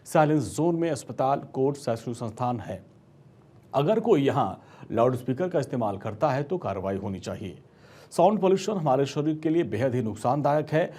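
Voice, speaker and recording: Guy anchoring tv news, emphatic scripted read, a bit rough voiced, normal pitch. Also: expressive, brisk, warm tone.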